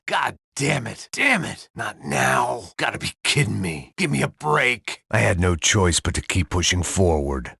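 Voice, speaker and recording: A man voicing a world-weary, hard-drinking middle-aged ex-cop turned bodyguard in a video game, narrating in a dry, bitter deadpan. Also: a bass voice, breathy edge, dry recording, exaggerated voice. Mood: muttering curses. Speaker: game character. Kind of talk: video game voice acting, noir voice-over.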